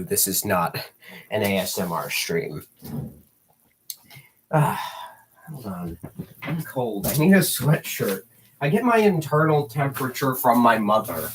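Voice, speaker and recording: A man's voice: medium pitch, background noise. A teenage boy chatting on a livestream, rambling and self-mocking with jokey asides. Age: teens.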